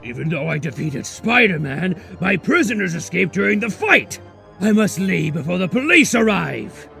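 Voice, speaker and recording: Casting: megalomaniac mad-scientist villain, high creaky voice, grand boastful comic-book menace, music underneath. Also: male voice, very animated, exaggerated voice. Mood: triumphant. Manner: theatrical, boastful, menacing, drawn-out shouts. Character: megalomaniac mad-scientist supervillain with mechanical arms. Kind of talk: amateur comic dub acting.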